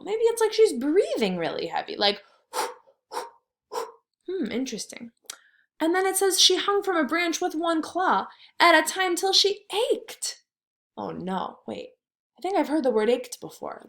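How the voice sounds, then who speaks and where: Expressive woman reading to young children. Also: extremely high pitch, unhurried. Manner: expressive, exaggerated wondering aloud, simple child-directed phrasing. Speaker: kindergarten teacher reading aloud. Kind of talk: children's read-aloud with think-aloud questions.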